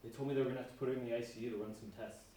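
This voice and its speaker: A male performer playing a stressed, defensive husband with a hurried, dismissive manner in an amateur stage play. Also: medium pitch, steady tone, warm tone, lightly acted. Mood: strained. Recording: very echoey stage, distant mic, faint noise.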